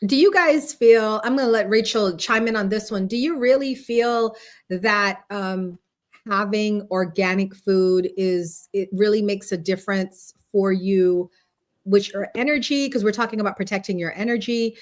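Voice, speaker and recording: A woman's voice: medium pitch, moderate pace. A woman from Guyana hosting a casual group discussion online, speaking informally to co-panelists and listeners. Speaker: host of an online panel talk.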